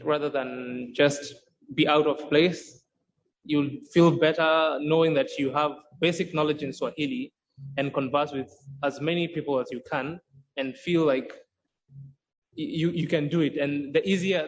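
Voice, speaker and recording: A man's voice: fairly high pitch, fairly even intonation, normal speed. A man explaining how he teaches Swahili in a podcast interview, earnest and often restarting sentences as he searches for words. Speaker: Swahili course creator and instructor. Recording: dull lo-fi sound with faint noise.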